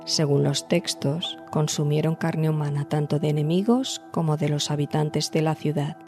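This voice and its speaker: Mellow woman narrating over music. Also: low-pitched, fairly even intonation, normal speed. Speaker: podcast host and narrator. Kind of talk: scripted storytelling podcast narration. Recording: music behind the voice. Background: background music.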